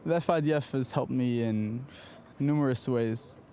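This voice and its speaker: A man's voice: medium pitch, normal speed, warm tone. A young man, a soldier, giving a plain personal answer in an interview. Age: young adult. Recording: faint outdoor urban noise.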